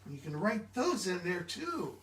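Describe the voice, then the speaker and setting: A man giving an animated, expressive children's storybook read-aloud, engaging his listeners directly. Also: high voice, measured pace, slight background noise. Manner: expressive, interactive, asks listeners questions. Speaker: children's book reader. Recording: echoey room, distant mic.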